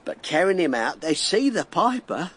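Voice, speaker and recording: Animated high-voiced London working man. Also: normal speed, slightly rough, exaggerated voice. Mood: wry storytelling. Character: earthy working-class Londoner of plague times, wry and plaintive. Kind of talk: radio drama acting.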